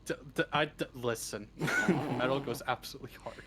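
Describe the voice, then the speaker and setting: A man's voice: medium pitch, normal speed, crowd noise. A man chatting casually as an interview guest, laid-back and given to swearing. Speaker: interview guest, script writer. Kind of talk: casual chat, answering interview questions.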